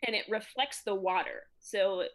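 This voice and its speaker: A woman's voice: mid-range voice, normal speed. A woman from Texas running a tabletop game as game master, easygoing and thinking aloud.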